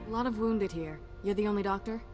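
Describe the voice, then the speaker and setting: A woman's voice: medium pitch, fast, music in the background, lightly acted. An actress voicing a blunt, skeptical female companion in a video game, with a dry delivery.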